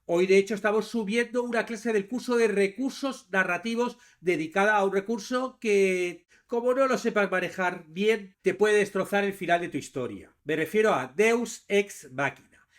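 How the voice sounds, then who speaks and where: High-pitched Spanish male podcast host. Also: moderate pace, fairly bright tone. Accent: Spain. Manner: addresses listeners directly and informally. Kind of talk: podcast presentation with course promotion.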